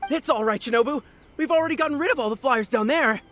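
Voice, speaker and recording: Game casting: timid young male henchman, jittery and anxious, very high voice with slight background noise. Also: fast, fairly bright tone. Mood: reassuring. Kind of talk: video game voice acting.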